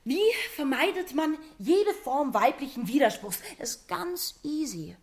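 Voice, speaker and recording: A woman's voice: high voice, big pitch swings, unhurried. A woman giving opinionated, colloquial commentary with emphatic, sardonic phrasing.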